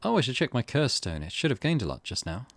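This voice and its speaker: A man acts out a smug, scheming man with a deadly curse in a visual novel game. He gloats to himself with lively intonation while music plays underneath. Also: mid-range voice, fast, no room sound. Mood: gloating relief. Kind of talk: visual novel dialogue read aloud.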